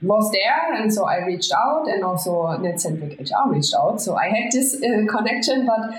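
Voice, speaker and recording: A woman with a German accent speaks as a guest in a casual, conversational interview. Her voice sits at a middle pitch. The room rings noticeably around her, with faint noise underneath. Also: lively intonation, normal speed, reverberant, poor audio. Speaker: interview guest, marketing manager.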